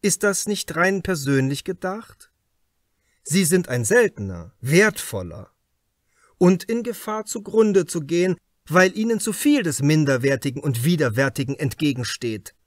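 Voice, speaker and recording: A man's voice: a little high, very animated, unhurried, dry recording, clean studio sound. A man voicing a shrewd, formal detective in an audiobook, pressing a suspect with emphatic, probing phrases. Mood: probing, insistent. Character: shrewd, insistent male detective confronting a suspect. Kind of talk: audiobook character dialogue.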